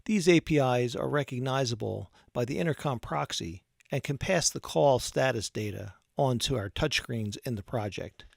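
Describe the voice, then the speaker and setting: Man narrating a tutorial, gravelly, dry sound. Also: medium pitch, no room sound. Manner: measured, methodical explanatory delivery. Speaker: technical training instructor.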